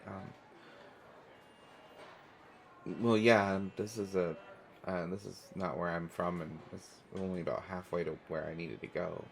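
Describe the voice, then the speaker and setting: Deep-voiced, stammering shy guy acted by a man. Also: steady tone, normal speed, vocal fry, a little hiss or hum, low-quality recording. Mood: awkward, gentle. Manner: hesitant, stammering, apologetic. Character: shy, awkward, kind young man stranded at an airport. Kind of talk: naturalistic audio roleplay.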